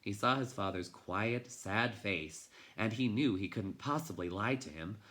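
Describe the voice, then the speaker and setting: A man reading a children's novel aloud in an expressive, dramatic way, moving between hushed and intense delivery. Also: on the low side, a little slow. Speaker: teacher reading a storybook aloud.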